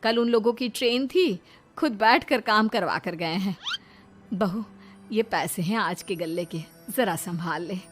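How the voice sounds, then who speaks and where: A woman's voice: medium pitch, unhurried, natural voice. A woman voicing a humble, hard-working middle-aged mother-in-law in a Hindi cartoon story. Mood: modest. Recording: music behind the voice.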